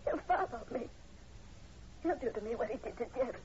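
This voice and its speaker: An actress playing a frightened young woman in old radio horror, breathless, halting and pleading. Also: fairly high pitch, expressive, close to an everyday voice. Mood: panicked pleading. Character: terrified young woman, fearful of her brother. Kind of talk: 1940s radio horror acting. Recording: muffled, hissy old radio recording.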